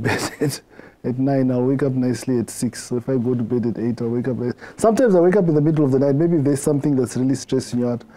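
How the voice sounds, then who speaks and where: A middle-aged man with a Zimbabwean accent, answering interview questions in a reflective way, often halting and repeating words. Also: medium pitch, warm tone, poor audio. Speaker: interview guest.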